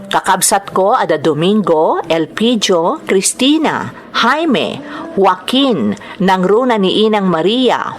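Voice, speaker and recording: A woman with an Ilocano accent reading from a script as host of a radio drama program. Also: on the low side.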